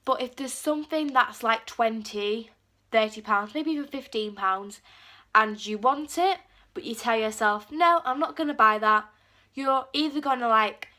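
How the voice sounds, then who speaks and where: A teenage girl chatters to her viewers, her voice bright and a bit high. She has a cold, and her talk is loose and full of "like" as she acts out little quotes. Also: a little slow. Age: teens. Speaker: vlogger. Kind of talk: casual talk to camera.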